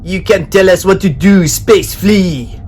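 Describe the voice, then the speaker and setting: A man voicing a bossy, blustering male pirate captain who talks loudly and shouts. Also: high voice, measured pace, a little hiss or hum, voice pushed up. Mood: scornful.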